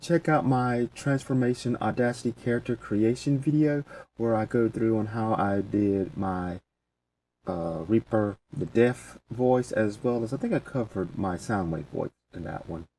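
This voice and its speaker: Low-voiced man giving a casual tutorial. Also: unhurried, mellow. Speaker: tutorial presenter. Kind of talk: casual software walkthrough. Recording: distant mic with faint background noise.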